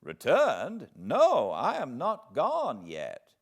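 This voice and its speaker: A man voicing an exasperated, wry messenger from a classic book, with emphatic, drawn-out delivery. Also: medium pitch, huge pitch swings, vocal fry, breathy edge, pitched above the performer's own voice, heavily acted.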